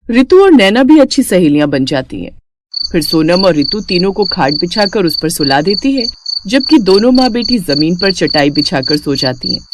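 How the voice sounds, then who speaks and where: A woman's voice: on the low side, a little hiss or hum. A woman narrating a scripted moral story as a storyteller.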